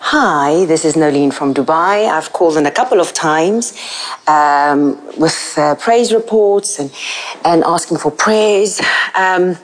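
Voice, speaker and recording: A woman's voice: low-pitched, takes it slowly, poor audio. A South African woman in her forties with a South African accent, calling in earnestly with a heavy heart to ask for prayer. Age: 40s. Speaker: caller.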